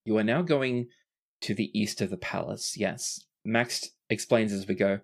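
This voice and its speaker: A game master tells the story of a tabletop role-playing game. The voice sits at a medium pitch, and the delivery is casual and peppered with swearing. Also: a little slow.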